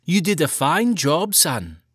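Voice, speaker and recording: Man voicing a caring farm mother, high voice, storybook reading, dry sound. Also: very animated, slow, a bit bright, slightly rough, dry recording, full character voice. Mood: proud. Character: anxious, caring farm mother, Irish-born.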